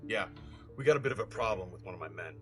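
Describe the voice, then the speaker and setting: Man playing a deep growling bully of a criminal father. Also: animated, quick pace, over music. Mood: gruff. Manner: contemptuous, growling. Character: foul-mouthed, bullying, short-tempered criminal father. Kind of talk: audio horror story acting.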